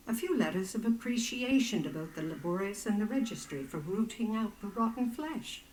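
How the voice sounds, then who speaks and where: Low, poised, calculating matron, echoing. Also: female voice, a little mellow, a little hiss or hum. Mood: detached. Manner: formal, cool, deliberate. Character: poised, calculating female head matron of a powerful order. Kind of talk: video game dramatic dialogue.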